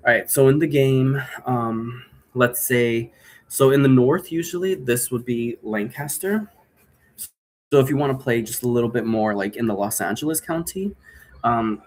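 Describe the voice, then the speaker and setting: Male, mid-pitched voice, informal and rambling explainer of game rules, slight background noise. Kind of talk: casual rambling explanation to camera.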